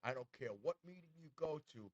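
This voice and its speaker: A man's voice: medium pitch, lively intonation, fairly quick, darkish tone, no room sound. A man in his seventies hosting an interview, speaking earnestly and encouragingly, often repeating himself for emphasis. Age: 70s. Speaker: show host. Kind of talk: casual interview chat. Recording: dull, muffled audio.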